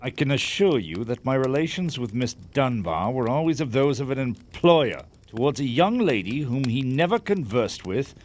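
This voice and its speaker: Proud wealthy businessman, hot-tempered, forceful, formal, very varied intonation, audio theatre. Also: mid-range voice, sing-song, moderate pace, hardly any pauses. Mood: demanding, guarded.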